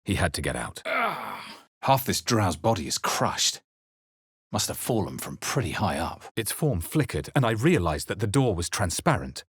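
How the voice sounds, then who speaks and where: Video game adventurer: deep, rough-edged and close-recorded, watchful and wry, narrating with measured drama. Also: male voice, very animated, no echo, heavily acted. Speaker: player character voice in a video game. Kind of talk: scripted game narration, barks and spell incantations.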